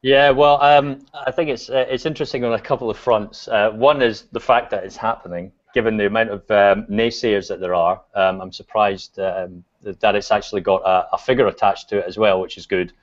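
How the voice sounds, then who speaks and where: Man, Scottish accent, lowish voice, relaxed chat, lots of ums, lo-fi video call. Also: a little fast, few pauses. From Scotland. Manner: relaxed, many fillers and restarts. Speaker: guest. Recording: dull lo-fi video call audio.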